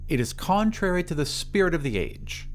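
A man's voice: a little high, very animated, normal speed, soft background music, voice pushed up. A man voicing a prim, irritable and dismissive mother from a futuristic society in an audio drama. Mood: cautious, disapproving. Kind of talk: audio drama character dialogue.

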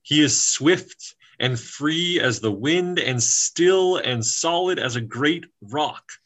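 Wise ancient female turtle read by a man, storybook, very slow, measured, solemn. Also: fairly high pitch, expressive, a bit bright, exaggerated voice. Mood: solemn, reassuring. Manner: measured, solemn, weighty phrasing.

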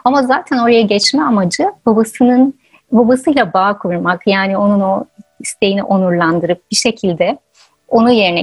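Husky woman guest, earnest and reflective. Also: fairly high pitch, no echo. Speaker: interview guest, author and researcher. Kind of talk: conversational explanation.

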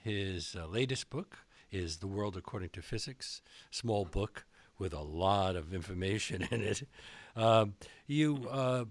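Male radio host, lowish voice, careful questions with little uhs, dry close sound. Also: expressive, no echo. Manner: measured questions with small hesitations. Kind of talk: radio interview.

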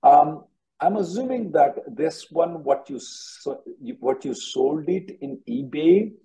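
A man's voice: fairly high pitch, animated, slow pace, mellow, poor audio. A man hosting an advisory call-in as an attorney, directive and given to repeating himself. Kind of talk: advisory call-in question and answer.

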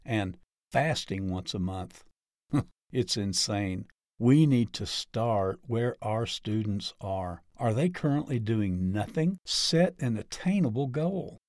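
A man's voice: medium pitch, darkish tone, no echo, studio quality. A man in his thirties narrating a scripted teaching piece in a conversational, explanatory way, posing rhetorical questions as he goes.

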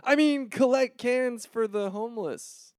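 A man's voice: extremely high pitch, a little slow, a little mellow, dry recording, clean studio sound. A man voicing a cocky, spoiled, swaggering seventeen-year-old rich boy vigilante in a cartoon. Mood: flustered. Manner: swaggering, bratty. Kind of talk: cartoon voice acting.

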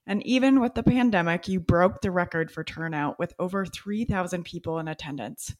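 A woman's voice: fairly low pitch, a little fast, darkish tone. A woman interviewing a guest, asking prepared questions in an affirming way.

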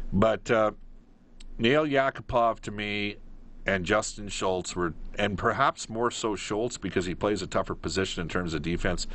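A man hosting a live sports phone-in, giving opinions emphatically and pausing mid-thought. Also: low-pitched, a bit bright, a little hiss or hum. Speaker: sports radio call-in host.

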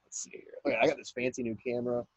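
A man's voice: mid-range voice, flat delivery, rapid-fire, dry recording, lo-fi sound. A man in casual podcast chat, joking crudely, calling in from a camper trailer. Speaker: podcast guest.

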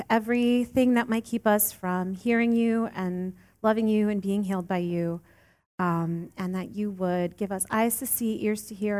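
Woman in her late thirties preaching, earnest with gentle humor, reverberant church sound. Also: mid-range voice. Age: late 30s. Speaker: lay preacher. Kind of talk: sermon. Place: church sanctuary.

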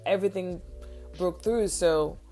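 A woman's voice: lowish voice, a little slow, mellow, faint music behind. A young woman co-hosting a casual talk show, speaking earnestly and rambling with lots of filler words. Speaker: podcast co-host. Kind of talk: casual chat.

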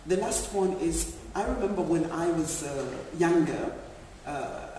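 Panel speaker, male, from Zambia; measured, thoughtful delivery; heard from a distance in a big echoey room. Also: a little high, mellow, slight background noise. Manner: measured, reflective, with frequent fillers. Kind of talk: panel discussion remarks. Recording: echoey hall, distant mic.

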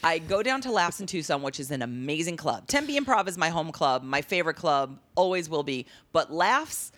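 Lady with a low voice telling stories in an interview, super lively pitch, acts out what people said. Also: moderate pace. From Las Vegas. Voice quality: low voice, deeper timbre. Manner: animated, acts out quoted dialogue. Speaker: comedian guest. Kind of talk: casual interview storytelling.

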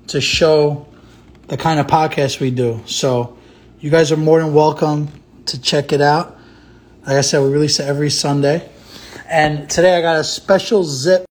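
A man hosting a weekly podcast on a livestream, chatting with a guest in a relaxed and friendly way. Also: a little high. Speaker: podcast host. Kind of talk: casual chat.

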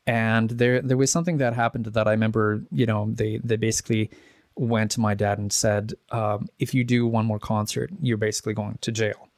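A man's voice: lowish voice, little pitch movement, brisk, no room sound. Chatty man telling stories, dry sound. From Czech Republic. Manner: chatty, rambling with many restarts and fillers. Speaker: musician guest. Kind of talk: casual interview chat.

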